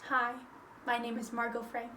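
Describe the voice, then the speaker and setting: A woman's voice: on the high side, completely flat delivery, normal speed, bright, forceful tone, echoey room, distant mic, a little hiss or hum. A twenty-one-year-old woman introducing herself in a poised, articulate way. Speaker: theater student.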